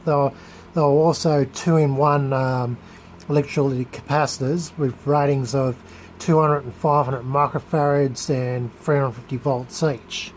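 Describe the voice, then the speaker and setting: A man gives a casual, unscripted hands-on demonstration as a hobbyist restorer, talking haltingly and searching for his words. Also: medium pitch, fairly even intonation, a little hiss or hum. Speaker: hobbyist electronics restorer presenting a video.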